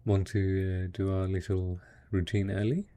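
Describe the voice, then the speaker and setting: A man acting as a devoted, hopeful survivor in an intimate audio roleplay, talking tenderly and coaxingly to a spouse, with a husky, gravelly voice. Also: low-pitched, flat delivery, a little slow, warm tone. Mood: thoughtful, caring.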